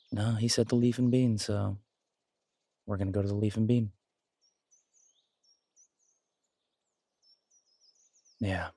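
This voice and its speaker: A man playing a confident, protective alpha werewolf boyfriend in an intimate roleplay audio drama, husky and soothing, teasing and reassuring in a casual way. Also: low voice, dry recording, heavily acted.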